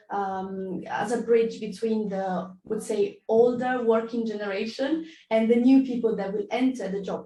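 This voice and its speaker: A woman's voice: on the high side, mellow. A woman interviewer asking long questions, with fillers and self-corrections. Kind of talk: video call interview. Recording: echoey, distant from the mic.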